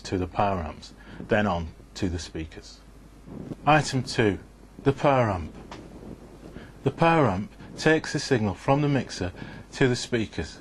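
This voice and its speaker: A man's voice: on the low side, slight background noise. A man giving a scripted, methodical instructional narration in an explanatory way.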